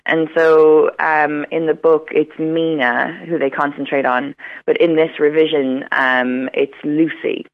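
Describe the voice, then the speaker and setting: A woman talks as a guest on a radio show, chatty and enthusiastic. Her voice has a gravelly fry to it. The line is a muffled, dry phone connection. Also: sits low, fairly even intonation, measured pace, no room sound. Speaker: radio interview guest, Irish studies academic. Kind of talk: casual radio interview chat. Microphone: phone call.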